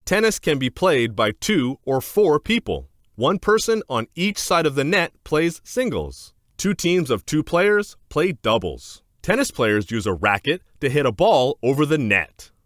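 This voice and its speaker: A man narrating a children's educational book aloud in a scripted, clear and deliberate instructional manner. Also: a little high, sing-song, a bit bright, no room sound, studio quality.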